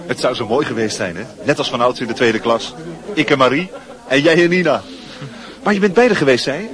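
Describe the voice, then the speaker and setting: Brash, chummy young male schemer played by a man, middle pitch, radio drama, busy crowd behind. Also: brisk, natural voice. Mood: exuberant, chummy. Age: young adult. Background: crowd chatter.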